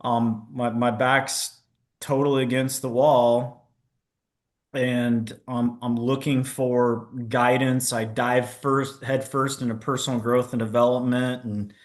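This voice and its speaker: A middle-aged man talks through his own story in a relaxed interview, frank and self-mocking. His voice sits at an ordinary pitch, and he often trips and restarts mid-sentence. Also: slow pace. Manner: candid, self-deprecating, with stammered restarts. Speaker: podcast guest, entrepreneur.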